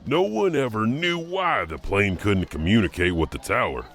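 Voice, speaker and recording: A man's voice: fairly low pitch, animated, moderate pace, fairly bright tone. A man hosting and narrating a horror anthology with a scripted, dramatic storyteller delivery. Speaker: host and narrator.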